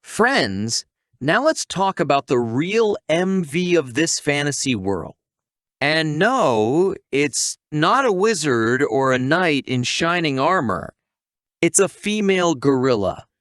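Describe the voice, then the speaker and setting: A man's voice: fairly high pitch, sing-song, slow pace, a bit bright, no echo, studio quality. A man narrating a humorous scripted story recap in a playful, theatrical way, addressing listeners directly.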